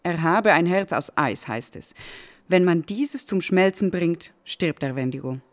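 An actress playing a woman who gravely tells a dark legend in a radio play. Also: fairly low pitch, animated, measured pace. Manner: grave, storytelling. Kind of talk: radio drama acting.

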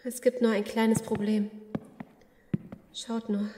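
A woman's voice: fairly high pitch, little pitch movement, slow pace. A woman playing an alert, anxious survivor in a horror audio drama, tense and urgent. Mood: wary. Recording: distant echoing hall, loud noise.

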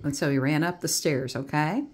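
A middle-aged woman helping a child read in a casual lesson, with expressive exclamations and coaxing, encouraging questions. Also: sits low, normal speed, a crowd in the background. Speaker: reading tutor coaching a child. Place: indoors at a home dining table.

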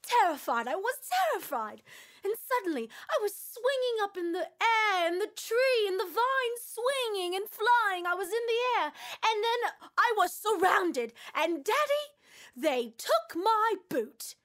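A woman voicing an excitable, flustered young adventurous daughter, telling things dramatically in an animated-film style. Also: very high voice, measured pace, pitched above the performer's own voice, heavily acted. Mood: breathless amazement.

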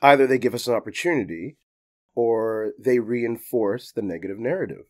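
A male actor speaking as a podcast guest, thoughtful and measured. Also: mid-range voice, no echo, clean studio sound. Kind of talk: casual conversation.

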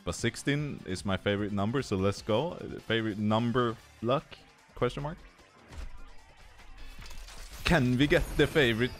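A man's voice: mid-range voice, normal speed, pauses often, faint music behind. A young man with a Swedish accent giving goofy, casual commentary while opening loot boxes, tired and swearing freely. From Sweden. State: tired from no sleep. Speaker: gaming YouTuber.

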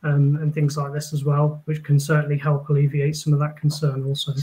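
A man in his thirties giving halting, explanatory answers as a panelist on a video call. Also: fairly high pitch, completely flat delivery, warm tone, a little hiss or hum, poor audio. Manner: hesitant, halting delivery. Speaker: panelist, industry representative. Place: indoor room.

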